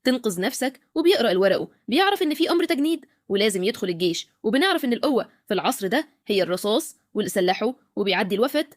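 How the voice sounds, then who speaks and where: A woman's voice: a little high, big pitch swings, racing, few pauses, dry recording, studio quality. A woman with an Egyptian Arabic accent narrating a scripted recap of a film.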